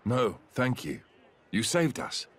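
A man playing a polite, level-headed young hero in a video game, with a husky voice. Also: medium pitch, very animated, slow. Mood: courteous. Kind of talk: video game cutscene acting.

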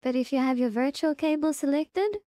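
A woman narrating a casual software tutorial, instructing step by step and repeating her reminders. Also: high voice, a little fast, dry recording, studio quality. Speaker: tutorial presenter.

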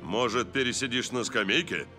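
Man as a stern, bright-voiced cartoon football coach. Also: medium pitch, faint music behind, close to an everyday voice. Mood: concerned, firm. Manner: authoritative, measured. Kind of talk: animated cartoon dubbing.

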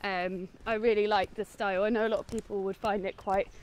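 A woman's voice: medium pitch, flat delivery, a little fast. A woman, casually chatting through a film review while walking outside. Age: 20s. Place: outdoors, walking on a woodland path. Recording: outdoor ambient noise.

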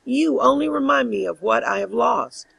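Plaintive, husky captive lark, voiced by a woman. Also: fairly high pitch, lively intonation, normal speed, a bit bright, no room sound, voice pushed up, exaggerated voice. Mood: sorrowful, tender. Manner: plaintive, lamenting. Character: dying captive lark, sorrowful, gentle, lamenting.

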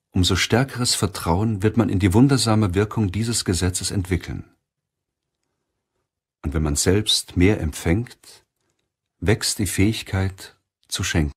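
A man narrating a self-help audiobook in a measured, deliberate way. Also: sits low, slightly rough.

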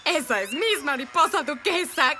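A bubbly cartoon girl creature with a tiny, extremely high voice. She gushes and chatters with lively melody, over loud music. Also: normal speed. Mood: chatty, absorbed. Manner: gushing, exclaiming. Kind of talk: cartoon dub acting.

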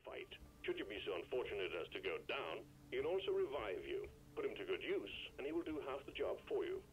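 A man playing a gruff male mission controller who barks brisk orders to a mining team in a video game. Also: medium pitch, big pitch swings, dull audio, close to an everyday voice. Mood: commanding. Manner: brisk barked orders over comms.

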